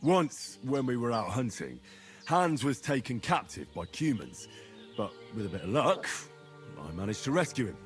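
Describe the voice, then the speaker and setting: A man's voice: mid-range voice, sing-song, normal speed, soft background music, close to an everyday voice. An actor playing an earnest young man bent on avenging his parents in a video game, plain-spoken and serious. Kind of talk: video game cutscene dialogue.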